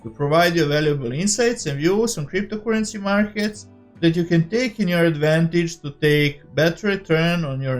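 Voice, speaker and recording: Higher-voiced Serbian man over background music. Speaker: host of a cryptocurrency investing channel. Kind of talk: scripted introduction to camera.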